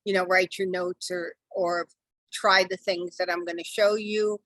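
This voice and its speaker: A middle-aged woman with a lowish, slightly hoarse voice leads a live online class in a chatty, reassuring way, filling pauses with ums. Her video-call audio is dry and close. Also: flat delivery, a bit bright, no echo. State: losing her voice. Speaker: real estate software trainer. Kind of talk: live online training session.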